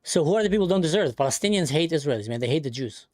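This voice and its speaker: Guy hosting a live stream, from the middle east, arguing hard and fast, dry close sound. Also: fairly high pitch, expressive, a little mellow, dry recording. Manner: insistent, argumentative, talks over the other speaker. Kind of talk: heated debate on a live stream.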